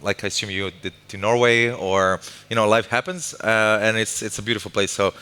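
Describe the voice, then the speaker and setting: Man, fast, low-ish bright voice, loose and self-mocking, long hall reverb. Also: fairly even intonation, clean tone. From Croatia. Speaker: guest, tech company developer lead. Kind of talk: live stage interview. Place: stage at a live event.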